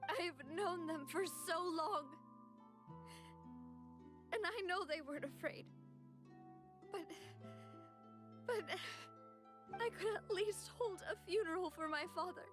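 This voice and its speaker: A high, youthful female voice playing an earnest young noblewoman in an anime game. She is dutiful and emotional, a leader carrying grief, and music plays underneath. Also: slow pace, pauses often. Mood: grief-stricken. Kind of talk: anime-style video game drama acting. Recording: music behind.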